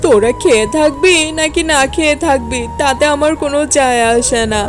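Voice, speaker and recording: A woman's voice: high voice, moderate pace, close to an everyday voice. A woman voicing a harsh, uncaring female employer who scolds, in a cartoon story. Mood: scolding. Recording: music under the voice.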